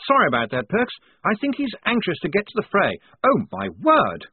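A man's voice: high voice, huge pitch swings, moderate pace, breathy edge, pitched above the performer's own voice, full character voice. A man voicing a fussy, short-sighted young army lieutenant who stammers and hesitates. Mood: flustered. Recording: dry tinny phone-like sound.

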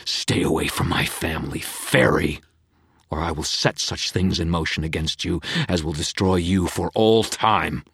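A man's voice: rumbling low, normal speed, hardly any pauses, slightly rough, no room sound, studio quality, heavily acted. A man voicing a stern, devout knight who speaks in a clipped growl. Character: stern devout male knight, rigid and protective.

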